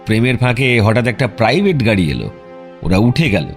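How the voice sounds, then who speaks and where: Audio drama detective: confident, teasing sleuth, measured and knowing, average pitch, light music underneath. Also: male voice, very animated. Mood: confiding. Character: shrewd, self-assured male detective, teasing and secretive. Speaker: detective character. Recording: music behind the voice.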